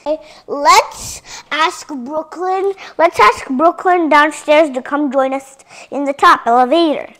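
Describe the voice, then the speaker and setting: A female voice giving a chatty tour of a toy dollhouse playset, addressing viewers directly and sometimes dropping into soft whispers. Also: sits high, a little slow. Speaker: toy reviewer.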